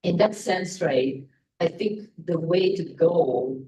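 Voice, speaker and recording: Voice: medium pitch, animated, takes it slowly, dark tone. A conference panelist offering rambling remarks with many fillers and repeated words. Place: conference room. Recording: voice from a distance in the room.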